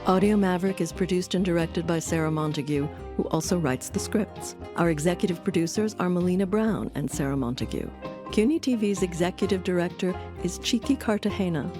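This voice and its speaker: A woman's voice: fairly low pitch, lively intonation, fairly quick, over music. A woman reading scripted narration as the host of a documentary podcast.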